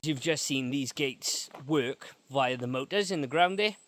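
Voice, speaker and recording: A man presenting as a repair technician in an informal explainer, going step by step with halting pauses while filming outdoors. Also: medium pitch, a bit bright. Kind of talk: informal explainer vlog from a job. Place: outdoors beside an electric gate.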